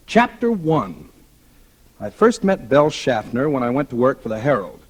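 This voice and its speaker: An actor playing a cocky, restless newspaper reporter and would-be crime novelist in a 1940s radio drama, talking in casual slang. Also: male voice, mid-range voice, very animated, slow, breathy edge, exaggerated voice. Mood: reflective, narrating. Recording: old 1940s radio recording.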